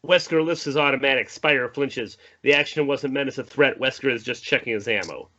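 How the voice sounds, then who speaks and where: Bright-voiced man narrating a script. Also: medium pitch, brisk, lo-fi sound. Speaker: narrator reading stage directions. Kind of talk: screenplay table read narration.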